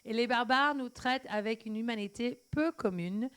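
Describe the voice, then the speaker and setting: A woman works as a live interpreter for a sermon. She delivers it phrase by phrase, sometimes halting to correct her wording. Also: fairly high pitch, a bit bright, smooth.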